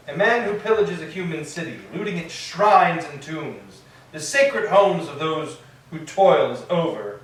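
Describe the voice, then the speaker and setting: A man's voice: fairly high pitch, slight background noise. A man playing a grand, commanding sea god, speaking formally in a staged reading of Greek tragedy. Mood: commanding, solemn. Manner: formal, declamatory. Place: echoing church interior. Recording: very echoey hall, distant mic.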